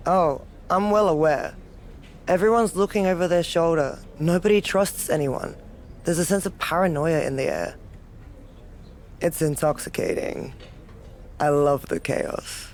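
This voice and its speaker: A woman's voice: fairly low pitch, measured pace, long pauses, a little mellow, a little hiss or hum. A woman playing a cocky, dominant, sardonic wrestler who relishes chaos in a video game cutscene. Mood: relishing. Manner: sardonic, self-assured. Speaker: pro wrestler character.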